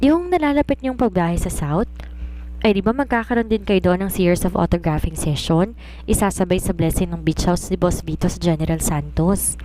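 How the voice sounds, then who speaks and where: A woman's voice: fairly low pitch, moderate pace, mellow, a little hiss or hum, lightly acted, poor audio. A woman storyteller voicing a chatty, fretful and inquisitive young female secretary in an eager, complaining manner.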